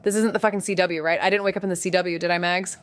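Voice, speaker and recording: A woman's voice: on the low side, fairly even intonation, very fast, clean tone, no echo, studio quality, natural voice. A woman playing a brash, sarcastic young sleuth. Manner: casual, sarcastic, filler-heavy. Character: brash, impulsive young woman amateur detective. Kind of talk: audio drama acting.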